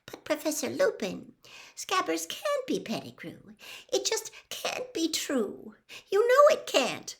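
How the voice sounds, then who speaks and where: Woman voicing an anxious, high-pitched schoolgirl witch. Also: normal speed. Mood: anxious pleading. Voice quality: trembling, would-be calm. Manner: pleading, precise, studious. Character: earnest, anxious teenage schoolgirl witch, trembling but reasoning.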